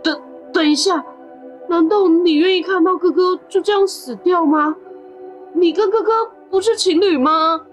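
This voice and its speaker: A woman's voice: extremely high pitch, moderate pace, soft background music. A woman voicing an earnest, determined young boy who worries for his older brother, in amateur audio drama style. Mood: pleading, defiant.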